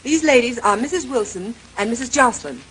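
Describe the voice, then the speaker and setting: A woman's voice: a little high, lively intonation, brisk, a bit bright. An actress playing a composed, polite lady detective who questions people in a controlled way, in an old radio mystery. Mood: calm, courteous. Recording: noisy old radio drama recording.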